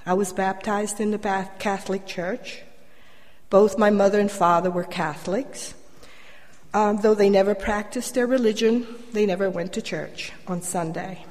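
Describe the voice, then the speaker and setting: Older lady from colombia sharing her story at church, measured n a bit wry, echoey hall. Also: mid-range voice, steady tone, darkish tone. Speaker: church member giving a personal testimony. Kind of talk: prepared personal story read to a congregation. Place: church, addressing congregation through a microphone. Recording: reverberant hall.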